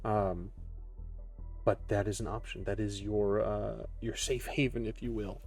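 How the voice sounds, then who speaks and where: A man improvising narration as game master of a tabletop roleplaying game, often pausing mid-sentence. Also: lowish voice, flat delivery, darkish tone. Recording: music behind the voice. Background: background music.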